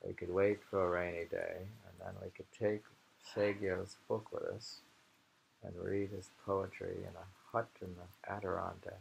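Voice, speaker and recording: A man's voice: sits low, flat delivery, normal speed, gravelly, low-quality recording. A man reading poetry and chatting casually about it, rambling and musing aloud as he goes. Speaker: poetry reader and host. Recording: noticeable background noise, amateur home recording.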